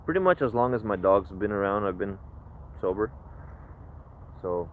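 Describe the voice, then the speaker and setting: A low-voiced man talks in a reflective, rambling way, restarting his sentences often. His voice comes through a tinny, phone-like outdoor recording with a faint hiss behind it. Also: expressive, moderate pace. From Ukraine. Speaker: livestreamer. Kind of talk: casual livestream chat.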